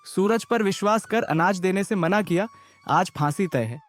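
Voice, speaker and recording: An actor playing an anxious, folksy village headman in an animated moral story. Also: high-pitched, moderate pace, faint music behind, close to an everyday voice. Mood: worried.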